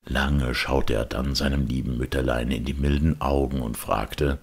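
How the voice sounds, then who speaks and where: A man's voice: extremely low pitch, steady tone, normal speed, husky. A man reading scripted storybook narration as an audiobook narrator.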